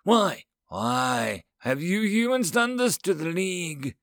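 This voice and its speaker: A man's voice: high-pitched, big pitch swings, takes it slowly, fairly bright tone, no echo, studio quality, pitched above the performer's own voice, exaggerated voice. A man voicing an indignant male insectoid alien chancellor in a story, emphatic and demanding. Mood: angry, exasperated.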